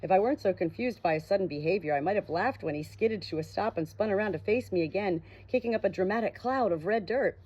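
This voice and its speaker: A woman's voice: medium pitch, fast talker, hardly any pauses, darkish tone, slightly rough. A woman reading a first-person novel aloud as an audiobook narrator. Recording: dull, muffled lo-fi audio.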